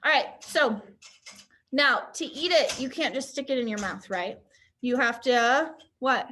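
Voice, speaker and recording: A woman's voice: high-pitched, unhurried, pushed and bright, a little hiss or hum. A young woman teaching an online drama class for kids, encouraging and upbeat as she guides a pretend game over a video call. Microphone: computer on a video call.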